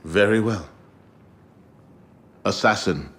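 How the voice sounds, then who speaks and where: Low, stately council leader. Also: male voice, a bit bright. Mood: solemn. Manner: formal, measured, authoritative. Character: dignified, stern leader of an assassins' council, man. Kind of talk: video game cutscene acting.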